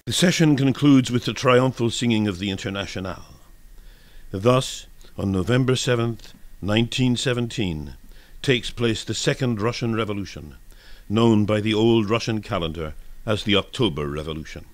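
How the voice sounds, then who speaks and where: A man's voice: lowish voice, normal speed, no room sound. A man narrating scripted history for radio in a formal, expository manner.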